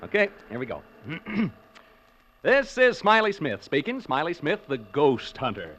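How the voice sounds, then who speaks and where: An actor playing a chatty radio ghost hunter who speaks in breezy announcer patter, in 1940s radio acting. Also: male voice, on the high side, very animated, unhurried, fairly bright tone, full character voice. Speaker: radio host. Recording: muffled old 1940s radio recording.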